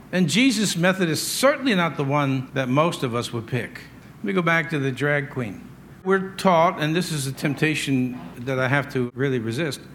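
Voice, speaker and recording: American male preacher, slightly high voice. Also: a bit bright, slight background noise. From United States. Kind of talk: sermon, partly conversational with scripture readings.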